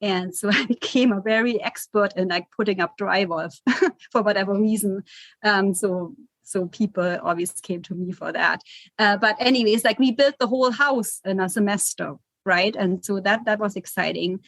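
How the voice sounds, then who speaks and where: A woman's voice: medium pitch, moderate pace, no room sound. A woman in her forties from Germany with a German accent, a guest architect giving chatty interview answers full of fillers. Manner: chatty, with many fillers and restarts.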